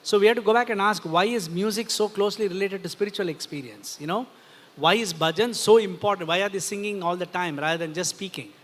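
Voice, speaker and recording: A man's voice: sits high, fast talker. A man with an Indian accent explains ideas in a didactic way as a panel speaker at a festival discussion, checking that listeners follow. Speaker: panel speaker, philosopher and author. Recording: reverberant hall sound.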